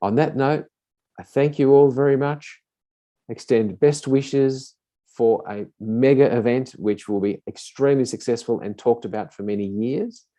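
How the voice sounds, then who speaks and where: A man's voice: mid-range voice, measured pace, mellow. A man closing his keynote with formal, effusive thanks on a video call. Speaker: keynote speaker.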